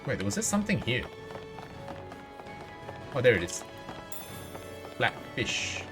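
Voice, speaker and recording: A man's voice: mid-range voice, very animated, slow pace. A man doing casual, playful live video game commentary, talking to himself and his viewers. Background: video game music.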